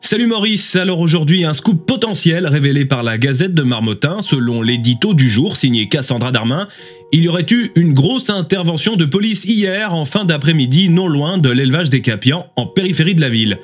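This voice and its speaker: Man acting an earnest, higher-voiced radio news reporter. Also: animated, a little fast. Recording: dull radio broadcast sound, music behind.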